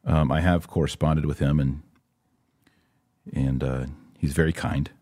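A man's voice: very deep voice, steady tone, unhurried, darkish tone, no echo, clean studio sound. A man hosting a podcast, giving casual religious commentary and answering listeners in a conversational, emphatic way. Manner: conversational, emphatic, acts out imagined exchanges.

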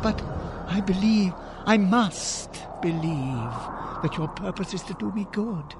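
An actor playing a fearful, pleading old miser in a radio drama, halting and stammering. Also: male voice, high-pitched, lively intonation, noisy background, full character voice. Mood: fearful, humble. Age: older. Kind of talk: theatrical radio drama acting. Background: music.

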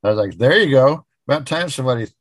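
A man's voice: mid-range voice, lively intonation, quick pace, no echo. Man chatting casually in a dry room. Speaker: podcast co-host. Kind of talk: casual chat, retelling odd news.

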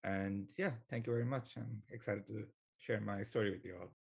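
A man's voice: fairly low pitch, fairly even intonation, normal speed, creaky, breathy edge. A young man from Bangladesh, a graduate student, talking in a thoughtful, hesitant way on a podcast. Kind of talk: conversational podcast talk.

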